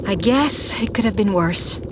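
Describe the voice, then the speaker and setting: A woman's voice: mid-range voice, animated, measured pace, lightly acted. A woman playing a fiery, hot-tempered, blunt and outspoken heroine in an audio drama. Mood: grudging. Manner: forceful, blunt, exasperated. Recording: clear noise behind the voice.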